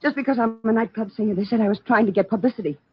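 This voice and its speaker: An actress playing a frightened, distraught young nightclub singer in a halting, pleading 1940s radio drama style. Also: female voice, fairly high pitch, a little hiss or hum, natural voice. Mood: insistent. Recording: muffled vintage 1940s radio recording.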